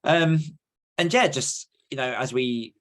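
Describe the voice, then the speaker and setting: A man hosting a podcast, talking casually in an informal, somewhat halting way. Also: medium pitch, a bit bright. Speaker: podcast host.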